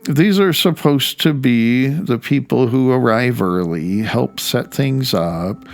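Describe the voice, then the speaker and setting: Male audiobook author, 50s, creaky mid-range voice, wry essay read with pointed emphasis. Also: medium pitch, lively intonation, slow pace. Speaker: author reading own audiobook. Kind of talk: audiobook narration of a reflective essay.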